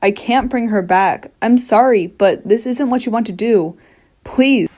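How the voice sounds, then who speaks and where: An actress playing an anxious doctor in a radio play, earnest and pleading. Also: female voice, on the high side, measured pace, a little mellow. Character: anxious, reasoning doctor facing a gun. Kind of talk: dramatic radio play acting.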